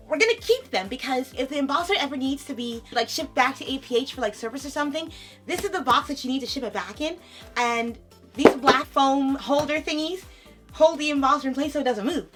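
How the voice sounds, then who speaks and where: A young woman vlogging an unboxing in a bubbly, giggly way, her voice a little raspy from a sore throat. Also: sits high, bright, forceful tone. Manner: bubbly, giggly, calls viewers "lovelies". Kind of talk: casual unboxing vlog.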